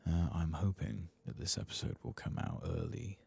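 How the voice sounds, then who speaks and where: A man softly commenting on his gameplay in half-whispered, mumbling asides, his voice lower than usual after singing, close to the microphone. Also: fairly even intonation, normal speed. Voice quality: very deep, gravelly and husky. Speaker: ASMR gaming channel host. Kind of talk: soft-spoken gameplay commentary.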